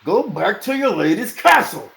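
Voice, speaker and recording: A man's voice: sits high, very animated, normal speed, gravelly, a bit bright, pitched above the performer's own voice, heavily acted. A man voicing an earnest, stern young knight who speaks with urgency, in a storybook reading. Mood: urgent warning. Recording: roomy echo, faint noise.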